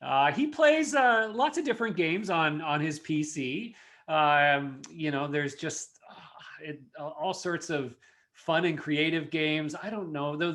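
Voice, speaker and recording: A man's voice: on the high side, a bit bright. A man in his forties with a Canadian accent, a podcast guest who talks casually with many fillers. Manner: friendly, talkative, frequent fillers. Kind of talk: casual interview chat.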